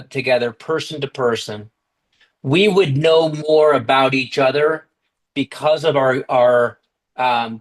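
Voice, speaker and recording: An American man talks steadily and earnestly over a video call, sharing at a recovery meeting. His voice sits at an ordinary pitch and his pace is even. He restarts a phrase now and then. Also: rough recording. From United States. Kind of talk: personal recovery talk.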